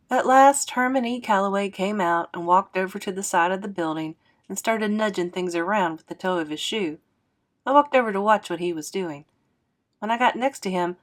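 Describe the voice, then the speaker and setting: A woman reading a children's novel aloud as a teacher, in an expressive narrating style. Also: medium pitch.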